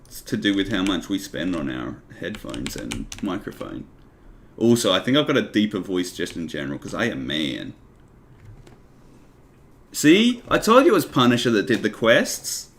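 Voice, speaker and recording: A man with a very deep voice and a gravelly edge, trading dry, teasing jokes with a friend while they play. His pitch still moves around a fair bit, and faint noise sits behind him. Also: big pitch swings, normal speed, a bit bright. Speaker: co-streamer. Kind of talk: casual gaming chat.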